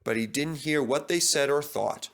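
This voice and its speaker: A man's voice: fairly high pitch, moderate pace, creaky, fairly bright tone. A man reading a children's story aloud as a scripted narrator.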